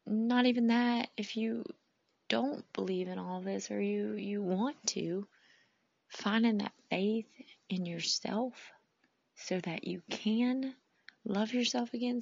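Woman rambling on her podcast, raspy low voice, dry kinda muffled sound. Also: dry recording. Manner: rambling, heartfelt, drifting into soft whispers. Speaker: podcast host. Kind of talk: casual reflective monologue.

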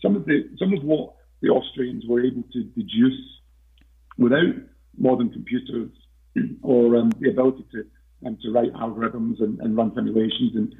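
A man as a podcast guest in casual interview talk, hesitant with many fillers and restarts, his voice croaky. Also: mid-range voice, fairly even intonation, moderate pace. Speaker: podcast guest, investment researcher. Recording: tinny phone-like line.

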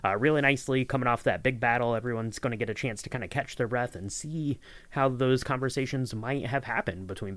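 A man hosts a casual podcast with an ordinary mid-pitched voice. He rambles amiably, softening his points as he goes and sounding appreciative of his guest and listeners. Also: no echo. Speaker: podcast host and audio editor.

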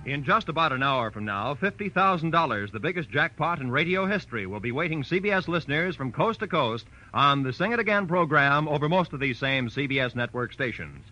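A man's voice: a little high, lively intonation, a little fast, hardly any pauses, bright, forceful tone. A man announcing for a radio network in a formal, emphatic 1940s style. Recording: dull vintage radio recording, faint music.

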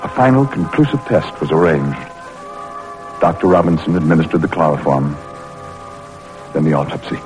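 A man performing as a solemn, measured narrator in an old-time radio drama. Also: a bass voice, rough recording. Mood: solemn, suspenseful. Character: solemn male narrator of a medical history drama. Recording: old radio recording with loud music.

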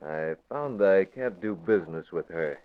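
A man's voice: low voice, lively intonation, unhurried. An actor playing a masked male vigilante who talks in curt, menacing orders, in 1940s radio acting style. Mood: stern. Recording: dull old radio recording.

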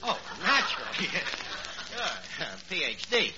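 An actor playing a dim, bluffing, uneducated city man with a halting, muddled delivery, in 1940s radio comedy style. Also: on the high side, wildly swooping pitch, heavily acted. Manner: halting, muddled, mangling words. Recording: muffled, noisy old radio broadcast.

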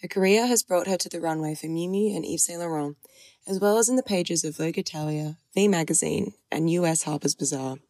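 A woman's voice: lowish voice, a little fast, a little mellow, no room sound. A woman fashion model co-hosting a podcast, reading the intro and asking interview questions in an upbeat, casual way.

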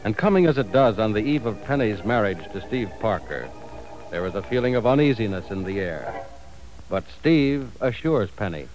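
A man's voice: lowish voice, lively intonation, moderate pace, a little mellow, soft background music, low-quality recording. A man announcing and narrating a vintage radio drama in a formal, dramatic manner.

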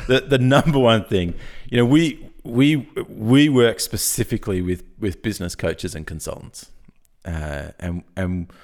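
An Australian man with a croaky early-morning voice, chatting informally and jokily as a podcast guest pitching his business. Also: darkish tone. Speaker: podcast guest, software company founder. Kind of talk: casual conversational pitch.

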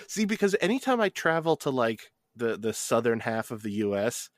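A podcast host from New Jersey asking playful rapid-fire questions in a casual chat. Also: mid-range voice, very animated, no echo, clean studio sound.

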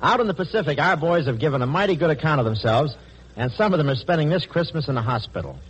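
Male 1940s radio announcer, formal and fast, slightly high pitch, muffled vintage broadcast sound. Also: lively intonation, husky.

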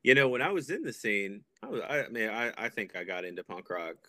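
American male podcast guest, thirties, deep voice with a bright edge, dry close sound, casual and hesitant. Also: big pitch swings, fast talker, dry recording. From Texas, United States. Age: 30s. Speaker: podcast guest, punk music promoter. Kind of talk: casual chat.

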